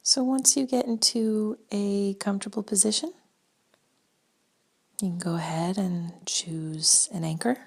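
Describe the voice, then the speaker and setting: Slow, creaky-voiced woman guiding meditation. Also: mid-range voice, fairly even intonation. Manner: slow, gentle, spaced-out phrasing with long pauses. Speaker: meditation guide. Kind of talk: guided meditation.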